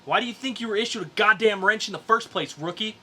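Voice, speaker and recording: Man acting a bright-voiced, grumbling working stiff. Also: sits high, quick pace, slightly rough, pitched above the performer's own voice, exaggerated voice. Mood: resigned, bossy. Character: gruff, reluctant, self-deprecating blue-collar working man. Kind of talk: amateur dramatic comic book reading.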